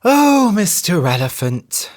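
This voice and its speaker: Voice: medium pitch, big pitch swings, slow, pitched above the performer's own voice. A narrator voicing a sly, wily female hare. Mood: coaxing. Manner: sweet-talking, sly, bright and loud.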